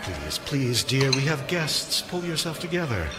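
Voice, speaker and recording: An actor playing a stern, exasperated Victorian physician husband in a radio drama, formal and earnest. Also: male voice, mid-range voice, sing-song, measured pace, loud music behind the voice. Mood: impatient, demanding. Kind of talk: theatrical radio drama acting.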